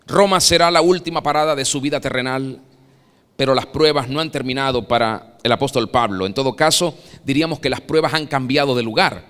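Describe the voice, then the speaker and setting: Bright-voiced Venezuelan preacher in a hall. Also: male voice, medium pitch, fairly quick, smooth. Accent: Venezuelan Spanish. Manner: dramatic preaching, swells loud then drops soft. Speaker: pastor. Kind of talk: sermon. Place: church sanctuary. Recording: long reverb of a church hall.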